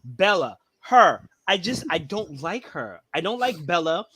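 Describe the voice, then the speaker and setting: A man co-hosting a casual fan podcast, giving opinionated, animated rants with acted-out quotes. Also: on the high side, measured pace. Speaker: podcast co-host. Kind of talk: casual chat.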